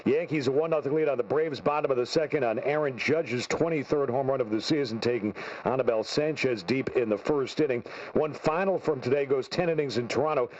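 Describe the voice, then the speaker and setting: A man's voice: medium pitch, fairly quick. A man reading a scripted scoreboard update on sports radio. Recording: muffled radio broadcast, faint noise.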